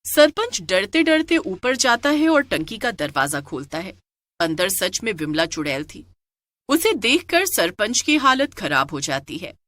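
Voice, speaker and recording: A woman's voice: mid-range voice, very animated, unhurried, a bit bright, no room sound. A woman narrating a scripted moral tale as a dramatic storyteller.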